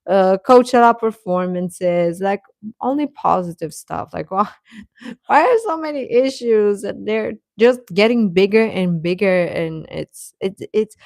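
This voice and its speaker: A woman's voice: medium pitch, expressive, creaky, darkish tone, dry recording. Woman chatting casually in a close, dry recording. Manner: rambling, halting, often chuckling as she talks. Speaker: host of a K-pop fan channel. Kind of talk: casual livestream chat, replying to comments.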